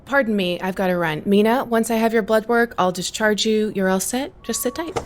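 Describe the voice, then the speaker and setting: An actress playing a calm, reassuring hospital doctor in an audio soap opera, with a professional manner. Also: female voice, mid-range voice, brisk, a little hiss or hum, close to an everyday voice. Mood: calm reassurance.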